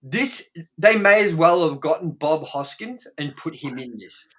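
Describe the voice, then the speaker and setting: A man with a bright, fairly high voice talks through a casual movie review. He is emphatic and opinionated, swearing freely as he makes his case as co-host. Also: lively intonation, measured pace.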